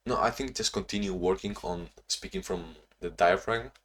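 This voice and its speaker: A young man talking in a casual, reflective diary-style vlog, halting with frequent restarts and sounding a bit sleepy. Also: sits low. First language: not English; Portuguese not first language either. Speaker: vlogger.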